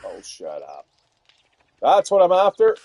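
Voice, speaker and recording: A man giving loud, rambling, profanity-filled commentary while playing a video game. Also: a little high, huge pitch swings, a little slow, vocal fry. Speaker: gaming streamer. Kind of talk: casual gameplay commentary.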